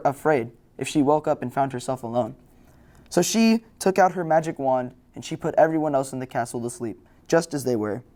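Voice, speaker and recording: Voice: medium pitch, warm tone. A storyteller reading a scripted fairy tale as narration.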